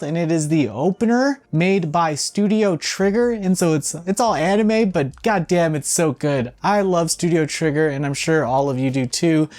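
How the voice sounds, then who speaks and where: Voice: mid-range voice, darkish tone. A video game news host giving casual, opinionated commentary in an informal, blunt way, with some swearing.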